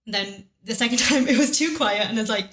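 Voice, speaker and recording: A woman's voice: on the high side, brisk, fairly bright tone. A woman chatting casually in a commentary, giving frank personal opinions with lots of "like" and "personally". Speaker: co-host and partner in commentary.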